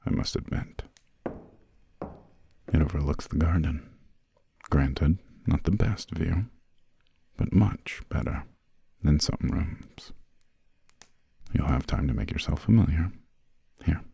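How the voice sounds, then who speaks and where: A man playing a refined, imperious vampire lord in an ASMR roleplay, speaking in a hushed, formal, slightly haughty way with a breathy, gravelly low voice. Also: full character voice. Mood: politely aloof. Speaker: employer briefing a new assistant.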